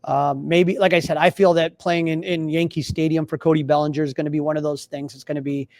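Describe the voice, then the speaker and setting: A man's voice: fairly high pitch, flat delivery, fast talker, darkish tone, no room sound. An American man co-hosting a sports podcast in a casual, rambling way, often starting a phrase over.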